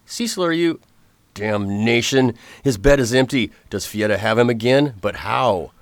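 An actor playing a calm, resolute undercover agent in a radio drama, speaking with formal period diction. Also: male voice, mid-range voice, unhurried, no echo, natural voice. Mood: groggy. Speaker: narrator and lead. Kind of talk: radio drama narration and acting.

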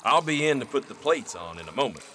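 A grumbling, cocky Old West henchman played by a man in a western radio drama. His voice is bright and very lively, with blunt, folksy phrasing and faint noise behind it. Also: mid-range voice, fast talker. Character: cocky, grumbling male outlaw sidekick in a western.

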